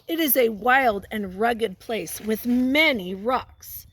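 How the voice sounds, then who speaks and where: A woman's voice: fairly high pitch, animated, a bit bright, breathy edge. A woman teacher reading a picture book aloud to young children, stopping to ask them questions and prompt them. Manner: pauses to question and prompt listeners.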